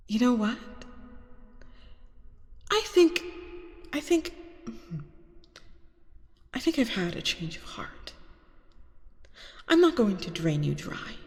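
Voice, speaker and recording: Woman playing a slow, seductive vampire queen, reverberant. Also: medium pitch, a little mellow. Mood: gloating, teasing. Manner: teasing, commanding, theatrical, drawn-out delivery. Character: arrogant, seductive vampire queen toying with a captive hunter. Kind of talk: ASMR roleplay. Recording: long reverberant hall sound.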